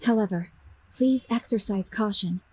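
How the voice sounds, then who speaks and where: A woman playing a composed, courteous figure who gives formal, measured briefings in an anime video game. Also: mid-range voice, darkish tone, slightly rough, close to an everyday voice. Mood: polite, composed.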